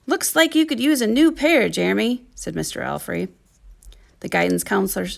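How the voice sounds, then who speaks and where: A woman reads a children's story aloud with expressive, deliberate phrasing. Her voice is heavily creaky and she takes her time over the sentences. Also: medium pitch. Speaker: teaching artist reading a storybook. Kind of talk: scripted children's story narration.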